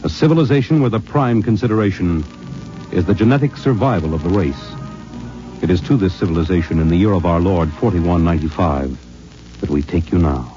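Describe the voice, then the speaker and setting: A man announcing a 1950s radio drama with scripted, portentous, deliberate narration. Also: sits low, mellow. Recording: dull old radio broadcast recording. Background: music.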